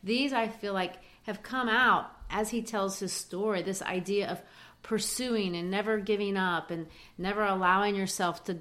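A woman's voice: medium pitch, steady tone, moderate pace, a bit bright. A woman in her forties who grew up in Brazil, co-hosting a casual book talk and explaining things thoughtfully with small fillers. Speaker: podcast co-host.

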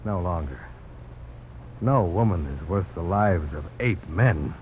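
A low, grave male voice on a noisy, muffled old broadcast. a resolute frontier adventurer, weary and earnest, speaking in measured lines in the manner of 1950s radio drama. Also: expressive.

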